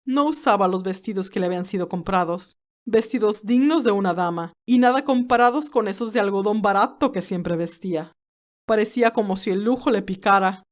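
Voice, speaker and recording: A woman's voice: medium pitch, fairly quick, lightly acted. A woman playing a stern, haughty elderly aristocratic great-aunt who tells her story formally and dramatically, with anguish and resentment. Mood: bitter, disdainful. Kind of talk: first-person dramatized narration.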